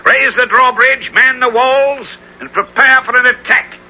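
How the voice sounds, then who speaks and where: An actor playing a cold, ruthless lord with a curt, imperious manner in a radio drama. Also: male voice, extremely high pitch, steady tone, unhurried. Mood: commanding. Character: ruthless, grim knight lord, commanding and cold. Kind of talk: 1970s radio drama acting. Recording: faintly hissy old radio broadcast.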